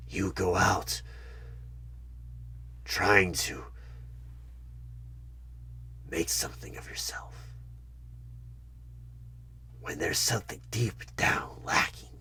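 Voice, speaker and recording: A man playing a sinister devilish tempter in a horror ASMR roleplay, slow and coaxing with a breathy, husky half-whisper and menace. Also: very deep voice, exaggerated voice. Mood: tempting, probing. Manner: slow, menacing, coaxing, with sudden loud bursts.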